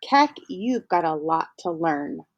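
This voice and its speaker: A woman's voice: very animated, slow pace, dull audio, heavily acted. A woman voicing a frank, friendly schoolgirl with a wry, knowing manner. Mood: teasing. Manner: wry, knowing, raised pitch.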